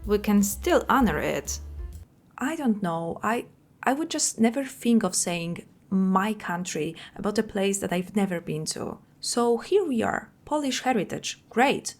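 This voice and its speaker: A woman's voice: mid-range voice, lively intonation, measured pace, faint music behind. A woman reading viewer comments aloud and adding dry, sarcastic asides.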